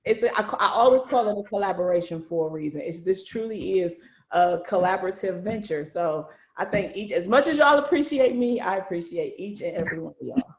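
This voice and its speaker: A woman hosting a casual video call as a series writer, chatting in an animated, effusive, slangy storytelling way. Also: mid-range voice, fairly quick, a little hiss or hum. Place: indoor room on a video call. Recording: echoey room over video call.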